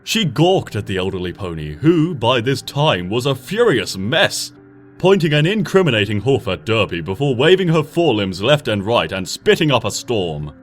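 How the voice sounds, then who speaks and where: A man narrates a fan story with a lively, swooping delivery, rising for the dramatic moments and sinking low and quiet for the tender ones. His voice sits at a middle pitch. Gentle music plays faintly underneath. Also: moderate pace. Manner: lively, dramatic storytelling with soft, hushed passages. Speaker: fanfiction reader. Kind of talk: expressive audiobook narration. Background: soft background music.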